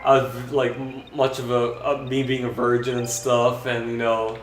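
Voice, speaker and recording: A young man with a dry, monotone voice chatting hesitantly while he plays a video game, stumbling through many fillers and restarts. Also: mid-range voice, normal speed, recorded from a distance. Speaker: vlogger. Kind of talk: rambling chat while playing a video game. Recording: echoey room with game music behind.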